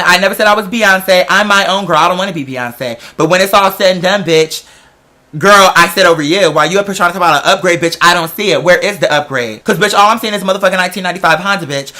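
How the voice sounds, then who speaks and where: A man with an American accent telling a story as a casual, animated rant, sassy and confrontational, with emphatic slang and swearing. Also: sits high.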